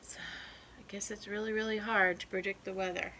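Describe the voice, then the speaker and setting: Bright-voiced woman reading to children. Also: medium pitch, level tone, a little fast, a little hiss or hum, low-quality recording. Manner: instructive, checking in with young listeners. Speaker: first grade teacher reading aloud. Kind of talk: children's storybook read-aloud with explanatory asides.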